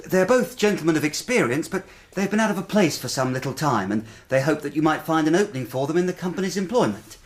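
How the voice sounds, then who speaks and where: An actor in a radio drama playing an earnest, anxious young English clerk, polite and plain-spoken. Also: male voice, on the high side, expressive, fast, hardly any pauses. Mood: concerned, polite. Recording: radio drama with clear background noise.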